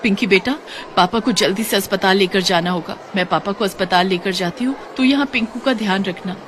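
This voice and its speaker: A woman's voice: mid-range voice, brisk, background noise, lightly acted. A woman voicing a worried, devoted poor mother in a cartoon moral story. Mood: urgent.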